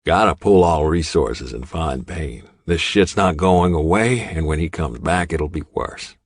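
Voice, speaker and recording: A man voicing a commanding older biker club boss, stern and deliberate, in an audiobook. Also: extremely low pitch, no echo, clean studio sound, heavily acted. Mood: stern, urgent.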